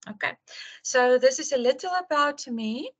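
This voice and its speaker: A woman's voice: sits high, normal speed, highs cut off. A woman instructor opening an online course, repeating her questions and praising answers, with many fillers. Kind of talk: online class intro.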